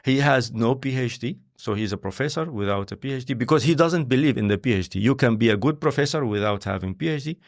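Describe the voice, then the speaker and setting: A man who is a scientist and filmmaker, speaking English as a second language in a halting way, often pausing to search for words. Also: medium pitch, expressive. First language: not English. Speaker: interview guest, scientist and filmmaker. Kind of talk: conversational interview.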